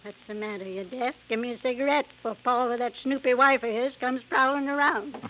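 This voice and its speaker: A woman's voice: on the high side, fairly even intonation, a little fast, close to an everyday voice. An actress playing a bossy, brusque older woman who talks in a curt, commanding way, in a 1950s radio drama. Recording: tinny lo-fi old radio broadcast with faint hiss.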